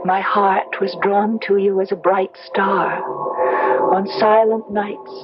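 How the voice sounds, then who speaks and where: A woman's voice: mid-range voice, flat delivery, slow, close to an everyday voice. A woman acting a sorrowful, entranced young bride with lyrical, yearning delivery in an old-time radio drama. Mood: dreamy, yearning. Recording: muffled old radio recording, loud music behind.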